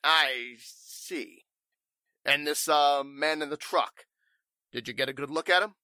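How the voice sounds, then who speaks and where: A man's voice: a little high, slow, bright, forceful tone, clear, resonant tone, no room sound, studio quality, heavily acted. A man playing a flustered, evasive warehouse owner in 1940s radio drama style, stammering and speaking with stuffy formality. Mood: polite, dismissive.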